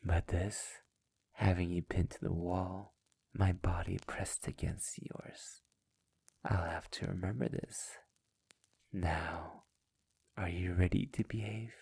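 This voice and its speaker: Slow, deep, breathy vampire master, acted by a man. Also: steady tone, a little mellow, heavily acted. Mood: gloating, seductive. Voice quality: breathy, gravelly low voice, often near a whisper. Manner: slow, theatrical, taunting. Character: sadistic, possessive immortal male vampire master, seductive and menacing. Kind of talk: intimate ASMR roleplay.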